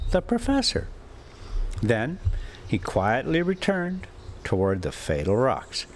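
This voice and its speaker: A man reading a classic adventure novel aloud as an amateur storyteller, with dramatic, deliberate phrasing and pauses. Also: fairly low pitch, a little hiss or hum. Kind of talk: expressive amateur audiobook narration with casual asides.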